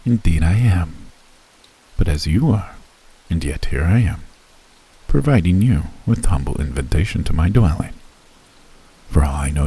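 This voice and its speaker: Brief: male VA as a mysterious elf recluse, very deep husky voice, genteel and teasing. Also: heavily acted, low-quality recording. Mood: teasingly ominous. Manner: genteel, teasing, softly menacing. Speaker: voice actor. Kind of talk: ASMR roleplay.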